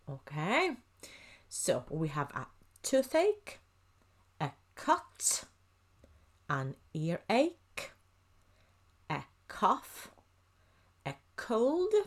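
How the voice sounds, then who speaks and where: A woman teaching an English lesson over slides, speaking slowly and carefully and repeating each phrase for learners to copy. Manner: lively sing-song pitch, drops to a near-whisper at phrase ends.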